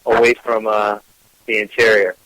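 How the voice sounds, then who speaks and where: A man's voice: on the low side, little pitch movement, normal speed, a bit bright, no room sound, poor audio. A man with a Gloucester, Massachusetts accent, a guest chatting casually, with an easygoing manner full of ums and uhs. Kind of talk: casual interview chat.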